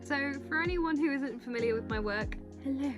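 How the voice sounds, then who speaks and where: A young woman with a bubbly, expressive and slangy manner, chatting to camera as a video creator introducing her vlog. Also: sits high, brisk. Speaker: cosplay video creator and host. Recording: music playing behind the voice. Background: music.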